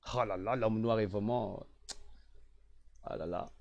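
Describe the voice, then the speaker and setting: A man from the Republic of the Congo delivering an impassioned political monologue as an online commentator, emphatic and repetitive, dropping into low sighing asides. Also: fairly even intonation, slow, gravelly, darkish tone, highs cut off.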